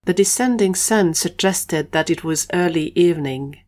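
A woman's voice: fairly low pitch, normal speed, darkish tone. A woman reading scripted narration for an audio adventure story.

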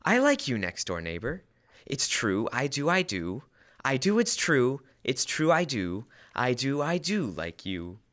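Fussy, earnest husband played by a young male actor, classic radio comedy, hugely animated pitch, forward bright tone, hesitant timing. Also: a little slow. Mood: reciting, deadpan. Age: teens to young adult. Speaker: student actor. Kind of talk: old-time radio comedy acting. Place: school library, indoors, live stage reading. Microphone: stand microphone.

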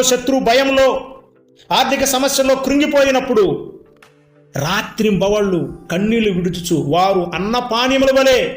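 High-pitched male preacher in echo. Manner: emphatic, repetitive exhortation with rhetorical questions. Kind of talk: sermon and prayer. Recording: echoey, with music behind. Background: music.

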